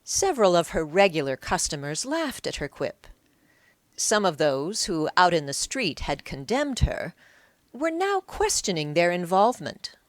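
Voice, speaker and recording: A woman's voice: fairly low pitch, big pitch swings, measured pace, dry recording. A woman narrating an audiobook in a dramatic, scripted storytelling style.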